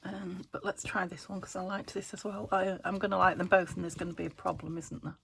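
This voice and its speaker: A middle-aged woman with a croaky voice from a cold, chatting casually while she crafts and asking little tag questions. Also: fairly low pitch, little pitch movement, a little fast, fairly bright tone. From England. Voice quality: gravelly. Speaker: craft vlogger. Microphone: phone camera.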